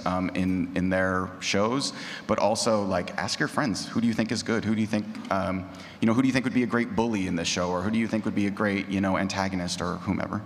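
A man talking casually and conversationally as a panelist at a convention. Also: lowish voice. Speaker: panelist, audio drama creator. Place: large indoor convention panel room. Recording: reverberant noisy conference room.

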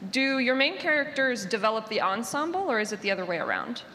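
A woman's voice: fairly high pitch, big pitch swings, quick pace, sharp tone. A woman moderating a panel and putting questions to the speakers. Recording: echoey hall with faint noise.

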